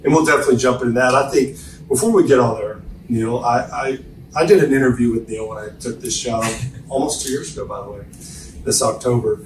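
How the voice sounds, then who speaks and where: A man's voice: medium pitch, quick pace, a little hiss or hum. A man hosting a podcast in a hotel suite, talking in a friendly, upbeat, chatty way. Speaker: podcast host. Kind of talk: casual interview. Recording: roomy hotel suite, distant mic.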